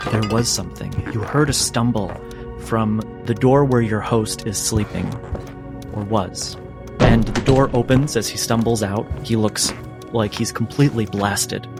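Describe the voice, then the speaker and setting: A low-voiced man runs a tabletop role-playing game. He narrates scenes deliberately, pausing between short phrases, with music clearly playing behind him. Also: fairly even intonation. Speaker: game master.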